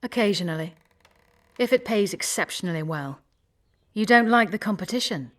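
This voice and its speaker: A woman's voice: mid-range voice, lively intonation, moderate pace, close to an everyday voice. A woman playing a haughty, self-assured sorceress in a video game, with an imperious, clipped delivery. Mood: cool, aloof.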